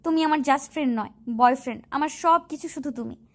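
A woman acts out a feisty young college girl for a romantic audio story. Her voice sits high, and she moves between bossy scolding and lovelorn pleading. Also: fairly quick.

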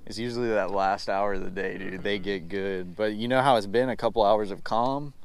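Excitable young man fishing outdoors. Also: fairly low pitch, fairly quick, clean tone. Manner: excitable, laughing, friendly trash talk. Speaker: fishing vlog host. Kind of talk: casual chat while fishing. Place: small boat on a lake, outdoors.

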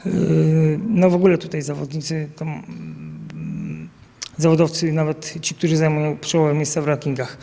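A man's voice: on the high side, very animated, normal speed, vocal fry, warm tone, big-room sound. A man in his thirties, a coach, answering interview questions outdoors into a handheld mic, with many fillers and restarts. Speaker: national team coach. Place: outdoors by a banner at an event. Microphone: handheld mic held by interviewer.

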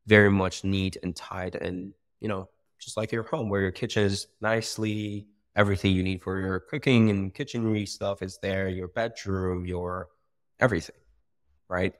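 A young man from Iran, a startup founder talking casually on a video call, animated, with many fillers and restarts. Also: low voice, normal speed. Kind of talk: casual interview. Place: bright room by a window.

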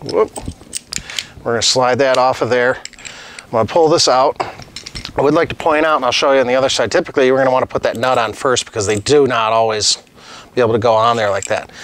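Middle-aged plumber guy walking you through a job, relaxed and practical, talking as he works the tools. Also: medium pitch, fast talker. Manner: casual, practical, talks while working with tools. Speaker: master plumber teaching on his channel. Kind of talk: hands-on tutorial demonstration. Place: indoor studio set at a desk. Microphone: lapel mic.

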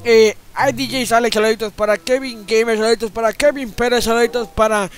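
A man's voice: fairly even intonation, fairly quick, clean tone. A man voicing a foul-mouthed, cranky old grandfather who grumbles and swears while playing a video game. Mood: rattling off. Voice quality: high, strained old-man voice. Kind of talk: comedic gameplay commentary.

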